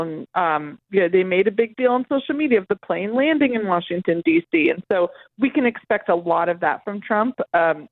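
A woman speaking as a political reporter in a live interview, explaining in a halting, self-correcting way. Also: medium pitch, dry recording. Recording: narrow, lo-fi phone line.

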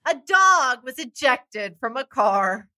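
Bright-voiced woman reading out weird news on a comedy pod, plain and matter-of-fact, dry mic. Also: sits high, big pitch swings, slow, no room sound. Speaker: comedy podcast co-host. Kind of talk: improvised comedy banter.

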